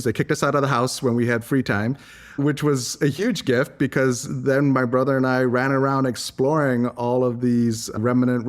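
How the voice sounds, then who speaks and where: A man from Singapore giving earnest, articulate, reflective answers as an interview guest. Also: mid-range voice. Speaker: interview guest, environmental advocate.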